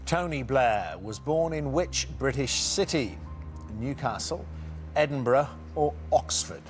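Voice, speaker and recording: A man's voice: mid-range voice, lively intonation, measured pace, faint music behind. A man hosting a television quiz show, chatty and jokey, with frequent fillers.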